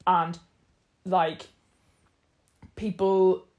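A young woman chats casually as a co-host. Her voice sits slightly deep, and she talks hesitantly, circling and restarting as she works out what she means. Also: level tone, extremely slow, a little mellow, muffled recording. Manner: hesitant, restarts often, thinking aloud. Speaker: podcast co-host. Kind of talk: casual chat.

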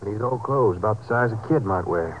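An actor playing a steady, observant American lawman, plain-spoken and thoughtful, in 1950s radio drama style. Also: on the low side, fairly quick, highs cut off, slight background noise, close to an everyday voice. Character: steady, observant male lawman, partner investigator.

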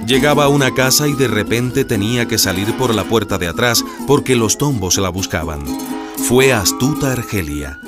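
A man's voice: medium pitch, expressive, moderate pace, over loud music, close to an everyday voice. A man playing the solemn storyteller of a radio drama, in scripted narration. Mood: solemn, dramatic. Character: solemn storyteller of a political biography.

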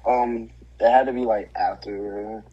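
A man's voice: lowish voice, steady tone, unhurried, highs cut off. Easygoing man, joking answers, faint noise behind. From Decatur, Georgia. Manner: laid-back, joking. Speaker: interviewee, boyfriend. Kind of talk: casual interview answers.

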